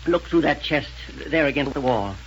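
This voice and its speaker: An earnest doctor of science, played by a male actor in a 1930s radio serial. His voice sits fairly high and commands with authority, on a dull recording with background noise. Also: lively intonation, moderate pace, natural voice. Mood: urgent.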